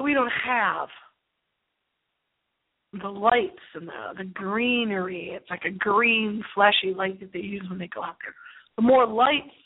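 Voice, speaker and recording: A woman's voice: medium pitch, breathy edge. A woman hosting a paranormal radio show in a rambling, theatrical monologue, repeating phrases for emphasis. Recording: thin, narrow phone-line sound.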